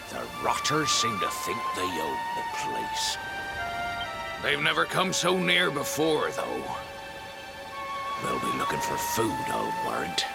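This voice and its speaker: A man playing a hearty, kindly old fisherman in a video game cutscene, with gravelly, folksy seafarer talk. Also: a little high, full character voice. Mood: wary. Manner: folksy seafarer talk, refers to himself by name. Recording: game music under the voice.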